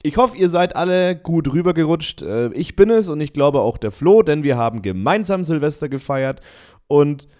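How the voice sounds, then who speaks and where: A man's voice: a little high, lively intonation, moderate pace, a little mellow. Dry-humored young man podcast host. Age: young adult. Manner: dry, teasing. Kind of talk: casual chat.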